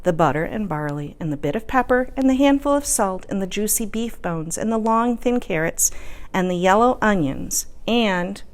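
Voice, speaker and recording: Very animated woman reading aloud. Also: mid-range voice, moderate pace. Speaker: storyteller reading a picture book. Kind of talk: children's story read-aloud.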